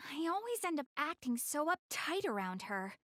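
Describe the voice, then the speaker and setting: A woman's voice: sits high, sing-song, normal speed, fairly bright tone, dry recording, clean studio sound. A woman voicing a shy, prideful young goddess girl in an English-dubbed anime game, flustered and earnest but a little haughty. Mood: friendly, open.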